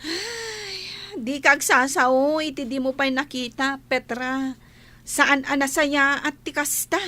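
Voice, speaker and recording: A woman's voice: high-pitched, slow pace, sharp tone, exaggerated voice. A woman with an Ilocano accent acting an outspoken, devout village woman who scolds gossips in a radio comedy-drama, speaking in a preachy, emphatic way. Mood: indignant.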